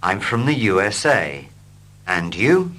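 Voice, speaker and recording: A man reading short English dialogues slowly and clearly for learners, line by line. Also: on the low side. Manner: slow, clear and expressive. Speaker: English teacher. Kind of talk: slow scripted reading for learners.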